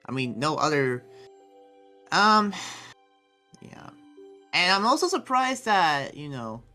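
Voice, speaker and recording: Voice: medium pitch, bright, forceful tone. A roleplayer playing an earnest, conflicted demon slayer in an improvised anime game roleplay, speaking in a hesitant, rambling way. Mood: hesitant, uneasy.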